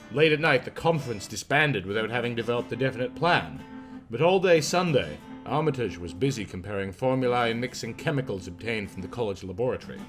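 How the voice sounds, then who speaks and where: A man's voice: medium pitch, lively intonation, brisk. A man reading scripted literary horror fiction as an audiobook narrator. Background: guitar music.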